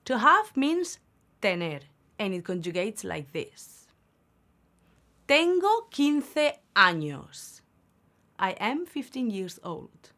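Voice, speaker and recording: A woman hosting a beginner Spanish lesson, saying each word slowly and clearly, then repeating it for learners. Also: medium pitch, sing-song, bright, forceful tone. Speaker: Spanish teacher, channel host.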